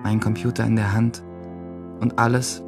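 A man's voice: fairly low pitch, little pitch movement, normal speed, lightly acted. An actor playing a guilt-ridden teenage boy in a radio play, narrating in terse, clipped fragments. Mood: grim resolve. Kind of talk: radio play interior monologue. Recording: music behind the voice.

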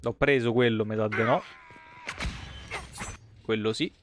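A man's voice: on the low side, steady tone, a little slow, slight background noise. A man, giving blunt, wry, sweary live commentary as he streams a video game. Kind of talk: casual live gameplay commentary.

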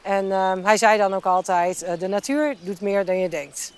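A woman's voice: medium pitch, moderate pace, clear, resonant tone. A woman who owns a hotel, welcoming guests and explaining things politely with frequent hesitations. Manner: polite and informative, with fillers. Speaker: hotel owner.

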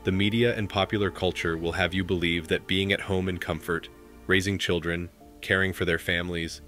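Deep-voiced guy reading posts out for his show, crisp bright tone, soft music under it. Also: steady tone, brisk. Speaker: commentary host. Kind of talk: reading posts aloud for commentary.

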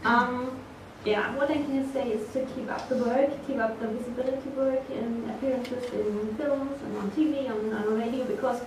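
A woman, speaking as a panelist in a matter-of-fact way with pauses. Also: on the high side, steady tone. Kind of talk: conference panel answer. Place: conference hall. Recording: echoey hall from far off, light noise.

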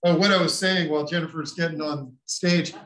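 A man hosting a community meeting in a large room, his voice a touch high and carrying a clear echo. He jokes with the group and fills gaps with loose "uh" and "yep" while calling each speaker up. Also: steady tone, brisk, fairly bright tone. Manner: loose, joking, filler-heavy. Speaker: meeting host. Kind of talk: casual emceeing. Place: large senior living common room. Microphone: far camera in room. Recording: echoey room sound.

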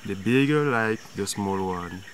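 A man's voice: lowish voice, lively intonation, unhurried. A young man explaining things informally, searching for words in non-native English. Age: young adult. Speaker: local companion demonstrating fishing. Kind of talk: informal demonstration. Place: outdoors in jungle at a riverbank. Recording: clear insect and jungle noise.

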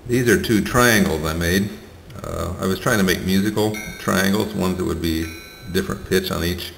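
A middle-aged man casually showing his small welding projects, talking plainly and hesitantly with many fillers and pauses. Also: low-pitched, creaky, fairly bright tone, faint music behind. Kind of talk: casual show-and-tell narration. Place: tiled room at home. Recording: roomy echo with long reverb and faint ambience.

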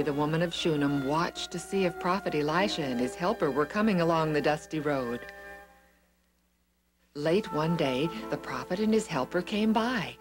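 A woman storyteller narrating a scripted children's Bible story in a deliberate, expressive way. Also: low voice.

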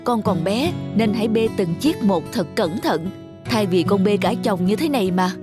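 A woman plays a strict animated-story mother with a medium-pitched voice. She instructs and admonishes firmly, with soft music in the background. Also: normal speed, natural voice. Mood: firm scolding. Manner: admonishing, firm. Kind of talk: cartoon dubbing.

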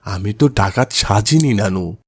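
A man's voice: lowish voice, expressive, a little slow, breathy edge, no echo, studio quality. A man voicing a kindly, good-humoured old grandfather in a cartoon moral story. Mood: explaining, good-humoured.

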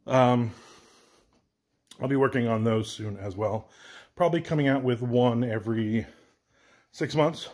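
Man, forties, casual blunt rambling update, phone at arm's length, middle pitch. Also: measured pace, pauses often, a little mellow. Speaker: author vlogger. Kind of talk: casual walk-and-talk update. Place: home, indoors and backyard. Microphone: phone held at arm's length.

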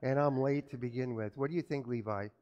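A pastor giving a children's sermon in a church, telling an animated story and asking the children questions. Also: male voice, mid-range voice, a little fast, dull audio. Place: church sanctuary. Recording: echoey church from far off.

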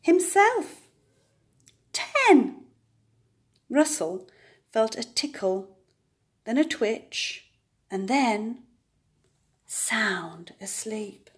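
An older woman reads a children's picture book aloud at a slow, careful pace. Her pitch rises and falls widely, putting dramatic emphasis on key words for young listeners. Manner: deliberate, expressive storytelling with dramatic emphasis. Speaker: children's story reader.

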